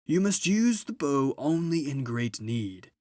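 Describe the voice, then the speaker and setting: A man voicing a big, solemn, kindly old gift-giver in a storybook, with slow, booming, grand proclamations. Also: very animated, darkish tone, no echo, studio quality.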